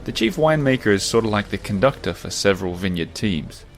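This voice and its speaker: A man with a lowish voice gives interview answers as a voice-over. He explains things in a measured, even way. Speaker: interviewee, vineyard expert.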